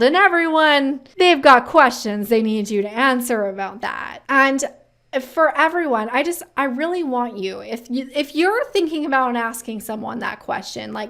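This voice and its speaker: Female career-advice host, thirties, slightly high pitch, animated conversational ranting to camera. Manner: animated, emphatic, conversational ranting with rhetorical questions. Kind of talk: casual opinionated commentary to camera.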